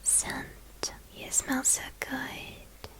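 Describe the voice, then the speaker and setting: A bashful young vampire classmate acted by a woman murmuring slowly and haltingly in your ear in an ASMR role play at a monster school. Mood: shy, awkward.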